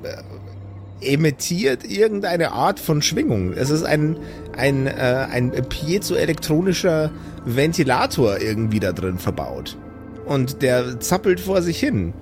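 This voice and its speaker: A man as a pondering, curious doctor, very varied pitch. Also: very animated, unhurried, over music. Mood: dry, explaining. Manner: hesitant, pondering, repeats phrases. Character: thoughtful, curious female doctor tinkering with tech.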